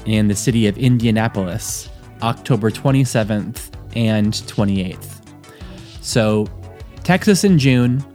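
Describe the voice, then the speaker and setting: Guy hosting a podcast, direct and plain, lots of uh's, mid-pitched voice. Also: darkish tone. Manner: plain and direct, with frequent fillers. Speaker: podcast host. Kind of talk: podcast ad read and interview.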